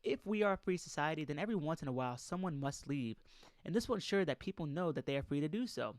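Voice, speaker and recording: A man's voice: on the high side, animated, quick pace, no room sound. A man reading aloud in an informal lecture style, speaking emphatically and putting questions straight to his listeners. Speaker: presenter reading a document aloud and commenting.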